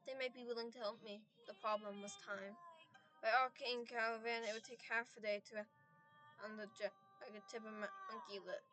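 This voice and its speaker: Woman, raspy, fairly high voice, reads game text aloud with frequent stumbles and restarts, soft music underneath. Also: flat delivery, fairly quick. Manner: stumbles and restarts words, apologizes, sighs. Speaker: game narrator reading story text aloud. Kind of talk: reading a game's story aloud with asides.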